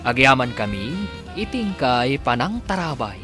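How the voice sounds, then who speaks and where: A man reading the closing credits of a radio drama as a formal, measured announcer. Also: mid-range voice, very animated, over music. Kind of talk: scripted broadcast announcing.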